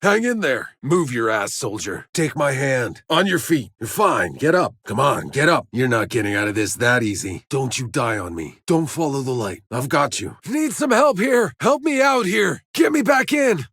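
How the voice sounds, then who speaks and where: A man's voice: mid-range voice, sing-song, moderate pace, hardly any pauses, a bit bright, husky, no room sound, heavily acted. A man playing a cynical, tough soldier in a sci-fi video game, with a gritty voice and dry wisecracks. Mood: urgent, commanding, then strained, calling out. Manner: sardonic, swearing, dry wisecracks. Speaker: video game player character voice. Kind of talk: scripted video game voice lines and combat barks.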